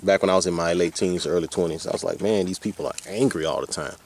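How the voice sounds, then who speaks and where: Deep-voiced, folksy man, rambling outdoors. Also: steady tone, fast talker, vocal fry, a little mellow. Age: about forty. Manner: folksy, colloquial, drifting into asides to his dogs. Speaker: vlogger. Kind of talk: casual rambling monologue. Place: outdoors on a hike. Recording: noisy outdoor ambience.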